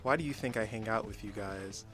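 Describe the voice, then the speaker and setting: A man playing a dour, droll supervillain with an understated deadpan manner in a comedic radio play. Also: on the low side, a little fast, soft background music, natural voice. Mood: sardonic.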